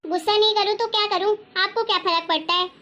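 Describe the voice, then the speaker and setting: Casting: female performer as angry young wife, extremely high voice, sarcastic and quarrelsome, moral-story family drama. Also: fairly even intonation, fast, sharp tone, dull audio. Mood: irritated, sarcastic. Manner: scolding, sarcastic, argumentative. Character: angry, sharp-tongued young wife demanding her share. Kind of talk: acted dialogue in Hindi family story.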